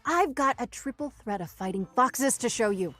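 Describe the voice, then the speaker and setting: A woman co-hosts a video game showcase in a scripted comic style. She is wry and teasing, with a fairly high, very animated voice. Soft music sits behind her. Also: moderate pace.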